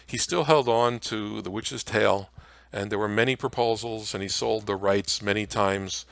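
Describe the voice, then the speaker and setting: A man with a low voice gives an informal history lecture. He speaks haltingly, pausing to search for words, and the sound is dry and close. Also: vocal fry, fairly bright tone, no echo. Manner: halting, frequent uhs. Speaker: presenter. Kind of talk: informal slide lecture on old-time radio history.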